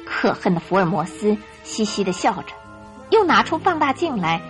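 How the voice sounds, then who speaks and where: A woman narrates a radio drama in a scripted storytelling manner. Her voice sits at an average female pitch and is expressive. Quiet music runs behind her. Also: moderate pace.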